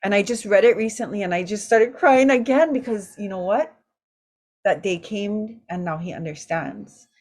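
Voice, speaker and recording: A woman's voice: medium pitch, normal speed. A woman hosting a casual roundtable, telling stories emotionally and mixing in Hawaiian words. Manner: warm, emotional storytelling with Hawaiian words mixed in. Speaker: roundtable host and mother. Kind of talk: casual talk story.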